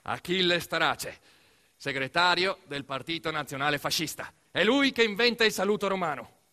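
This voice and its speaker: A man narrating a scripted theatrical monologue, telling the story in an emphatic, expressive way. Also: fairly high pitch, moderate pace. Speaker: actor-narrator of a solo theatre piece.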